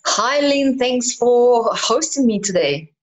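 A woman's voice: fairly high pitch, lively intonation, slow. A woman from Ukraine and Kazakhstan, a relationship mentor, giving thoughtful explanations with many ums. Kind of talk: interview answers.

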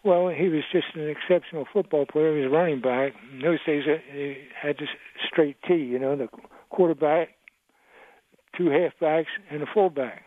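A man's voice: a little high, normal speed, no room sound. A man in his eighties calling in to a radio talk show, reminiscing in a rambling way. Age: 80s. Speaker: caller. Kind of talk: phone-in radio call. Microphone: telephone. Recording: tinny phone line, dry.

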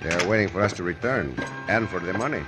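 A man's voice: low voice, expressive, fast talker, loud music behind the voice. A man playing a bold, suave Mexican gunslinger hero in an old-time radio western, formal and confident, with a Mexican accent. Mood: reassuring.

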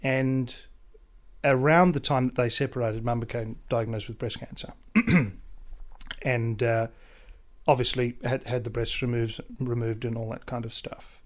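A young Australian man in his twenties, a podcast guest who talks with rich, formal diction in a measured, articulate way. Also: mid-range voice, steady tone. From central New South Wales, Australia. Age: 20s. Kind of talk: casual interview chat.

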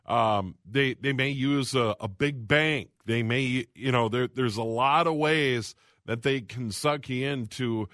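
Man, radio host, studio, mid pitch, dry close sound. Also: a bit bright, dry recording. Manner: reads phone numbers slowly, brisk station announcements. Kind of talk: radio call-in show hosting.

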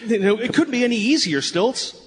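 An old man with a high, husky voice that swings up and down as he coaxes. He is an earnest, folksy former ballplayer in a radio play staged in an echoing hall. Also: expressive, fairly quick. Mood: coaxing, pleading. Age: older. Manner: folksy, coaxing. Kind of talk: live radio play acting. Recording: live hall reverb.

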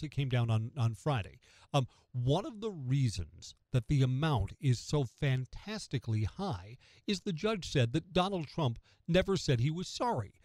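American guy doing talk radio commentary, kinda high voice, punchy, asks stuff then answers it, really dry sound. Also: a little mellow, no echo. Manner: emphatic, rhetorical questions answered by himself. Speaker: radio talk show host. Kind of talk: conversational opinion commentary on news radio.

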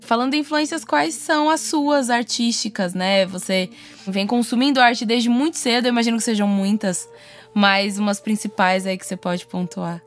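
A woman's voice: a little high, normal speed, soft background music. A woman with a Brazilian accent hosting a casual interview, asking questions in an informal, slangy way. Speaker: podcast host, interviewer.

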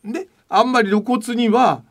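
A man's voice: very high voice, fairly even intonation, a little slow. A male host chatting casually and giving his opinions in a blunt, emphatic, colloquial way. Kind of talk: opinionated casual talk show chat.

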